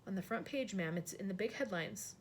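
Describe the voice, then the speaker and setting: A woman's voice: medium pitch, fairly quick, husky, natural voice. A woman voicing an older, deferential maid who speaks in a hesitant, quivering, polite way. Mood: trembling.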